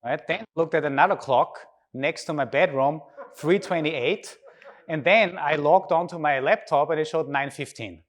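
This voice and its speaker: A man's voice: on the high side, moderate pace. Austrian-accented man giving a conference talk. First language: German. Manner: informal, engages audience with questions. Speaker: conference speaker.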